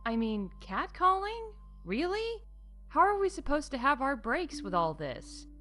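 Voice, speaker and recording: A woman's voice: high-pitched, very animated, measured pace, fairly bright tone, faint music behind, voice pushed up, exaggerated voice. A woman voicing an aggrieved, incredulous park magician complaining in a comedy audio show. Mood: indignant. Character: indignant, fed-up magician staff complaining about harassment.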